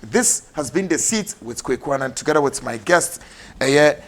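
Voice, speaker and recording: A man with a Ghanaian English accent hosting a TV talk show, mixing English and Twi in brisk announcements. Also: on the high side, lively intonation, slight background noise. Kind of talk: TV political talk show, closing with ads. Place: TV studio.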